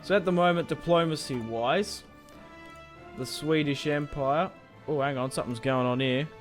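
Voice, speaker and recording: A man's voice: a little high, normal speed, faint music behind. A young man casually commentating a strategy game he plays, chatty and rambling, swearing now and then. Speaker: gaming YouTuber. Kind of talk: casual let's-play commentary.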